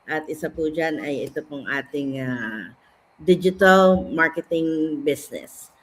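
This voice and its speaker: A woman's voice: low-pitched, unhurried, a little hiss or hum, low-quality recording. A middle-aged woman with a Filipino accent hosting a live stream, polite and welcoming. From Philippines. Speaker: host and business mentor.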